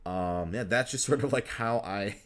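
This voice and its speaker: A young man, a voice actor hosting a casual interview, talking haltingly with many restarts and fillers. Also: lowish voice, fairly bright tone. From United States. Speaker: host, voice actor.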